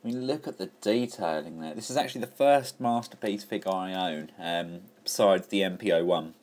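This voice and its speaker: A man's voice: low-pitched, normal speed, a little mellow. Enthusiastic man reviewing a toy. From England. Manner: enthusiastic, chatty, with frequent fillers and restarts. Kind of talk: casual toy review walkthrough.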